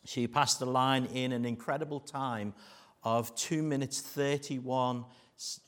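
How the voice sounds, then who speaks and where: A middle-aged man with a Liverpool accent preaching a sermon, mixing jokey asides with earnest warnings that sink to a hush. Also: mid-range voice, steady tone, unhurried. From Liverpool, England.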